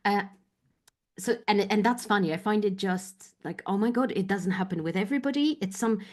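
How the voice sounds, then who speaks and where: A woman from Poland whose first language is Polish, a guest talking reflectively in an interview and often pausing to find her words. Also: medium pitch. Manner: thoughtful, searching for words, many fillers. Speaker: guest, business coach.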